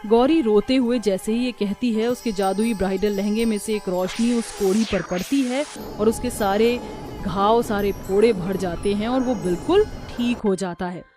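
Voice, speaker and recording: A woman's voice: medium pitch, moderate pace, dark tone, faint music behind. A woman narrator reading a scripted cartoon story.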